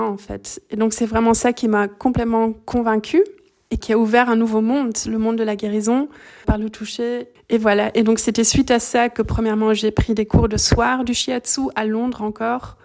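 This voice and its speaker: A middle-aged woman from Belgium, a native German speaker, telling her story calmly and thoughtfully in a podcast interview. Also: on the high side. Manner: calm, thoughtful, searching for words. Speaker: guest, shiatsu practitioner.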